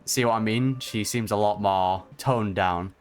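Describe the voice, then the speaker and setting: A man's voice: lowish voice, unhurried, soft background music. Chatty, slangy male gaming streamer. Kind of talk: casual off-the-cuff game reaction commentary.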